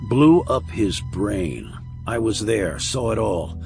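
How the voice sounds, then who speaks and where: A man voicing a hard, calm military investigator in an audiobook thriller, cool, blunt and controlled. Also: fairly low pitch, slow pace, vocal fry, over music, full character voice. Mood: cold, grim.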